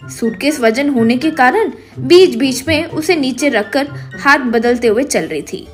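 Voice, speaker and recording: A woman reading scripted narration for an animated children's moral story. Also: high-pitched.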